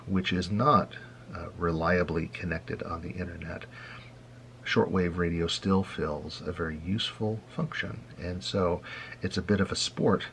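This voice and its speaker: An American man hosting an informal hobby tutorial, explaining things in a halting, conversational and wry way. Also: low-pitched, vocal fry, fairly bright tone, slight background noise. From United States. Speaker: host of a hobby radio tutorial.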